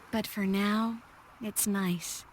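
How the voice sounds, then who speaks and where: An actress playing an affectionate, worldly sorceress in a video game, speaking softly and teasingly to her lover. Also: mid-range voice, slight background noise. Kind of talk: video game cutscene acting.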